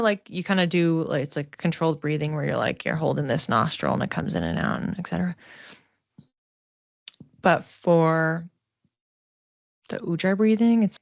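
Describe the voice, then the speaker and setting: A woman giving a casual live psychic reading, speaking conversationally and tentatively, thinking aloud with pauses as she explains. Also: fairly low pitch, lively intonation, creaky, dry recording, muffled recording.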